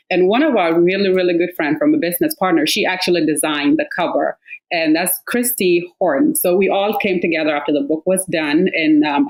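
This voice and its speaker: A woman in her thirties from South Sudan speaks as a guest, her voice sitting a little low. She talks thoughtfully, pausing and restarting as emotion comes through. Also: rough recording. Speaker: author and guest. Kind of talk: conversational interview.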